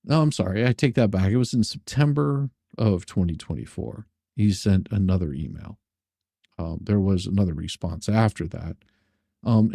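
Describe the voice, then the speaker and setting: A man hosts an informal livestream in a low, mellow voice. His tone is dark and a little husky, captured close and dry, with a sarcastic streak in how he talks and reads. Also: no room sound. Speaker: streamer, host of his own channel. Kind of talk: casual livestream chat and dramatic reading of letters.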